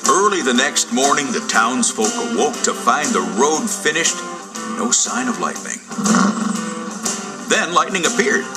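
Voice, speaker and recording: A man narrating a children's storybook from a script in a dramatic, expressive storytelling manner. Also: mid-range voice, unhurried, loud music behind the voice, low-quality recording. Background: loud musical score.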